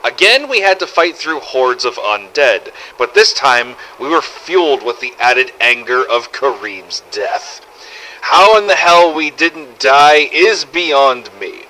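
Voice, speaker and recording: A man's voice: medium pitch, animated, slow pace, pushed and bright, slight background noise, low-quality recording. A man narrating a scripted first-person survival story in character, with a dramatic, gritty delivery and some swearing. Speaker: narrator of fan-made game audio logs.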